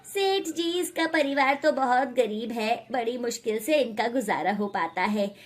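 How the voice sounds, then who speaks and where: A woman voicing a rich man's respectful, soft-hearted male servant in a moral tale, pleading in manner. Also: fairly high pitch, unhurried. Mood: deferential. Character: deferential, compassionate henchman of rich boss, man.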